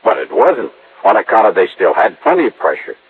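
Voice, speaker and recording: An actor playing a slow-witted, eager-to-please handyman with a folksy, rambling, deferential manner in an old radio drama. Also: fairly low pitch, wildly swooping pitch, husky, full character voice. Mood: helpful, deferential. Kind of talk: old-time radio acting. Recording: muffled, noisy vintage radio recording.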